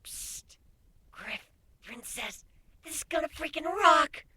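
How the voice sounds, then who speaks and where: A man voicing a cheeky, excitable male changeling, a bug-like creature, with a conspiratorial manner. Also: very high voice, takes it slowly, voice pushed up, exaggerated voice. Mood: gleeful. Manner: snickering, conspiratorial.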